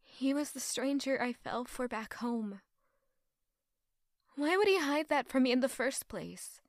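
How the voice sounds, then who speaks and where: A woman playing a conflicted, loyal young woman in love in an audio drama, with emotional, deliberate delivery. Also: high voice, lively intonation, no echo, clean studio sound. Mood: pensive, troubled. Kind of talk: dramatic audio drama acting with first-person narration.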